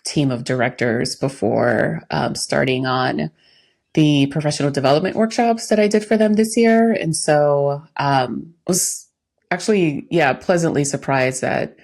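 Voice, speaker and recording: A woman's voice: extremely low pitch, measured pace, gravelly, a little mellow. A woman co-hosting a podcast, talking in a casual, conversational way with informal asides. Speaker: podcast co-host, coach.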